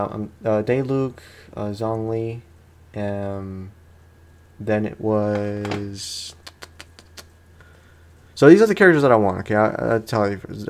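Low, gravelly man casually talking games. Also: a little mellow. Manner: rambling, slangy, self-deprecating. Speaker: gaming host. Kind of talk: casual let's play commentary.

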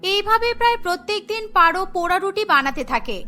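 A woman's voice: very high voice, expressive, fast, bright, forceful tone, faint music behind. A woman narrator reading a scripted cartoon story.

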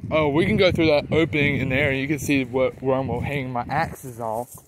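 Male house-tour vlogger, mid-range voice, informal and halting, repeats himself, slightly noisy location sound. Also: medium pitch, fairly even intonation. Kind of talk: casual chat while walking around. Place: house under construction and its muddy yard.

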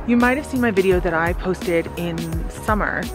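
A woman in her twenties chatting casually to a camera at arm's length outdoors, telling stories in an animated way with casual asides. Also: on the low side, normal speed, over music. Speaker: travel vlogger. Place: outdoors at castle ruins and scenic viewpoints.

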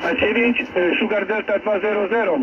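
A man's voice: high-pitched, fairly even intonation, a little fast. A man working as an amateur radio operator, making contact and chatting with call signs over the radio. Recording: dull, very noisy radio transmission.

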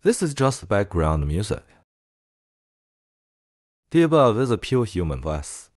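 A man presenting a software tutorial in a casual way. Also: fairly low pitch, sing-song, a little slow, no room sound, clean studio sound.

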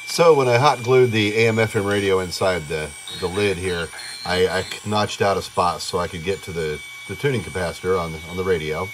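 Man, American accent, deep pitch, informal how-to narration, plain-spoken, folksy, step-by-step. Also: fairly quick, background noise. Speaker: hobbyist host of electronics tutorial. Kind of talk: informal hands-on tutorial talk. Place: indoors at a home electronics workbench.

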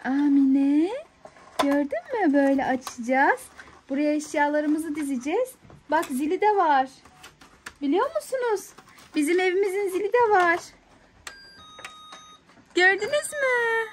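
A woman's voice: very high-pitched, lively intonation, a little slow, long pauses, slight background noise. A woman in a playful toy unboxing, talking to a small child in an encouraging way with lots of questions. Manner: encouraging, question-filled, child-directed talk. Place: indoors on a living-room sofa.

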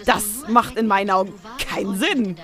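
A woman's voice: mid-range voice, normal speed, a bit bright, lightly acted. A woman voicing a young, sassy, whiny pony princess with a theatrical, teasing manner in a fan audio drama. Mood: sassy, incredulous. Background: background music.

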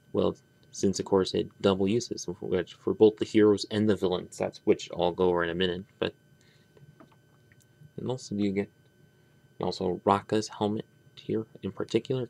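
Low-pitched, creaky man reviewing toys. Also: a little mellow. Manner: halting, rambling, trailing off. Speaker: toy reviewer. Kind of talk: unscripted hands-on product review. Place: indoors at a tabletop.

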